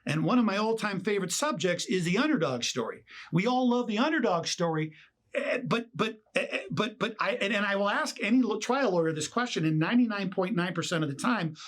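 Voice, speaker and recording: A man's voice: high-pitched, lively intonation, a little fast, fairly bright tone. A man presenting a motivational instructional talk as a coach, emphatic, leaning on key words and posing rhetorical questions. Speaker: trial consultant and author presenting a lesson.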